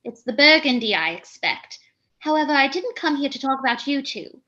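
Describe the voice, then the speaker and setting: A woman's voice: high-pitched, animated, normal speed, pushed and bright, muffled recording. An actress playing a flighty, self-important young lady in a theatrical comedy, arch and declamatory. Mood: arch, confiding. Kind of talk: theatrical radio comedy monologue and dialogue.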